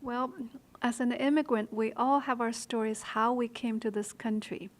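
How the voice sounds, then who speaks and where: A woman's voice: on the high side, steady tone, normal speed. A woman hosting a show, interviewing a guest conversationally. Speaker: interview host.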